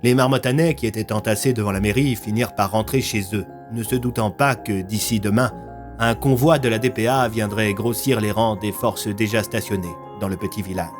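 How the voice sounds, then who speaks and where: A man's voice: fairly low pitch, steady tone, a little fast, over music. A man narrating a scripted audio fiction as a storyteller.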